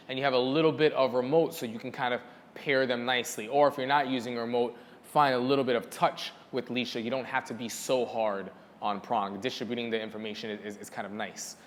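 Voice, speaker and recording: A man's voice: medium pitch, fairly bright tone, in a large hall. A man who trains dogs, answering viewer questions in a casual teaching style and repeating words for emphasis. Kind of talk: casual instructional Q&A.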